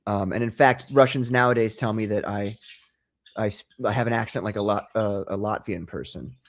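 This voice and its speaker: A man's voice: lowish voice, steady tone, brisk. Enthusiastic, rambling male comic creator. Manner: enthusiastic storyteller, rambling, laughs easily. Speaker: guest, comic book creator. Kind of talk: casual interview chat.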